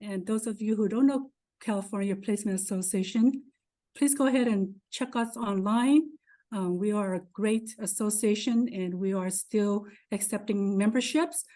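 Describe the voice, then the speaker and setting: A woman's voice: medium pitch, moderate pace, dark tone. Effusive woman giving a welcome. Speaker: association president. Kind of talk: welcome address.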